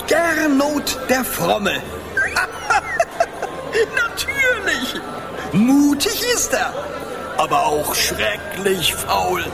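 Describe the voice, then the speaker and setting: Fawning sly astrologer man in a radio drama, slow, voice all over the place, oily. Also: extremely high pitch, huge pitch swings, creaky, full character voice. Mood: amused. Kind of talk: German radio play acting. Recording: loud music and crowd behind.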